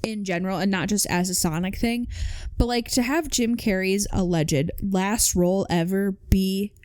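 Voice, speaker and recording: A female podcast co-host with a mid-pitched voice talks casually and gives her opinions like an avid fan. The sound is very dry, with no room echo. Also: moderate pace, darkish tone. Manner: informal, opinionated fan talk. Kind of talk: casual chat about video game movies.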